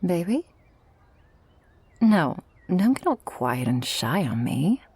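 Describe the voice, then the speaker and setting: Shark girl mistress played by a woman for ASMR roleplay: low-ish, breathy, tender, protective, commanding. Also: very animated, dark tone, dry recording, full character voice. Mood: firm, worried. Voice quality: breathy, often dropping to a whisper. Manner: slow, teasing, tender and commanding. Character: affectionate, protective, dominant shark girl mistress with a troubled past. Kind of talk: intimate ASMR roleplay to the listener.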